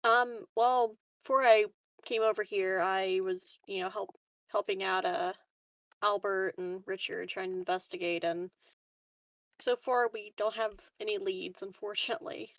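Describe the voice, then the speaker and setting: A woman roleplaying a concerned, frank colonist, speaking casually with hesitant pauses. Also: mid-range voice, steady tone, no room sound, close to an everyday voice, low-quality recording. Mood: earnest. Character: concerned, frank female colonist checking on a friend. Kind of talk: in-character tabletop roleplay.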